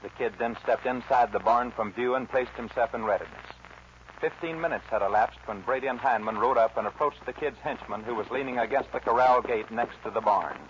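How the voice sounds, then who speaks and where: A man's voice: medium pitch, brisk. A man with an American accent narrating a western in solemn, theatrical 1940s radio style. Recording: muffled old radio recording, faint noise.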